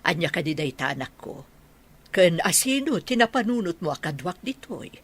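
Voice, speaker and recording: Protective ilocano mom in a radio drama, raspy voice, pitch moves a lot. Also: female voice, very animated, moderate pace. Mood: questioning. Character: protective, testing mother of a grown son.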